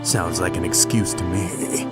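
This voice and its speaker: A man voicing a gruff, stoic, battle-hardened soldier in a video game, terse and blunt, with a gravelly growl. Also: deep voice, fairly even intonation, unhurried. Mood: skeptical. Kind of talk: dramatic video game voice acting. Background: background music.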